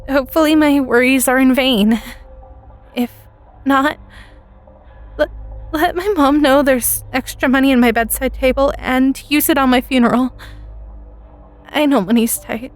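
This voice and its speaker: A woman plays a nervous teenage schoolgirl in a dramatic audio story. Her voice is high and hesitant, stammering as she records her anxious account, with music clearly behind her. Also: a little slow, pauses often. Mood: resigned, fearful. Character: nervous teenage freshman girl recording during a school lockdown. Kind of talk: dramatic first-person audio story narration.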